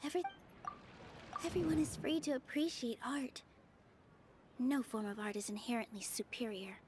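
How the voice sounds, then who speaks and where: A woman voicing a gentle, earnest, determined young dancer in a video game, speaking softly. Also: a little high, lively intonation, normal speed, noisy background, close to an everyday voice. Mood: thoughtful. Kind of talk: video game character acting.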